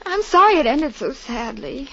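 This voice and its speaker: High, girlish, sentimental secretary. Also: sing-song, moderate pace, a bit bright, heavily acted. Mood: wistful. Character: girlish, naive, sentimental devoted young female secretary. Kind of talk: 1940s radio comedy-drama acting. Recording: muffled vintage radio recording.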